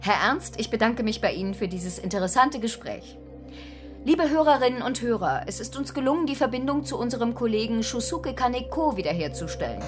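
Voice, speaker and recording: A woman acting as a radio news presenter with a fairly high voice, formal and earnest yet a little flustered. She speaks in live disaster broadcast style for a radio play, with music underneath. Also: lively intonation, close to an everyday voice. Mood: composed, serious. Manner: formal, hesitant with halting filler sounds.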